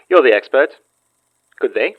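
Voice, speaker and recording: A man acting in an audio drama as a shrewd, distrustful con man who speaks in a knowing, deliberate way. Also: medium pitch, narrow, tinny audio. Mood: skeptical.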